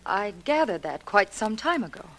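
A woman's voice: mid-range voice, very animated, moderate pace, a bit bright. An actress in a 1940s radio melodrama playing a proud woman under threat. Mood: guarded defiance. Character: threatened woman, haughty then desperately frightened. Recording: noisy old 1940s radio recording.

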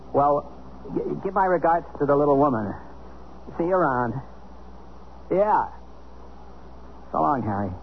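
An actor playing an awkward, stubborn husband in a 1970s radio comedy drama. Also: male voice, a little high, expressive, slow, long pauses. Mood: forced cheer. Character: flustered, stubborn husband newly split from his wife. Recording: dull, noisy 1970s radio broadcast.

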